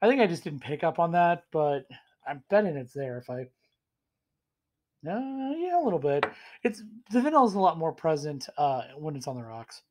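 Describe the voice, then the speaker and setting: A hoarse man with a head cold talks into his webcam from a small room at home. He rambles cheerfully and pokes fun at himself while he reviews a whiskey. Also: a little high, big pitch swings, normal speed, slight background noise. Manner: rambling, self-deprecating, enthusiastic. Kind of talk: casual tasting vlog.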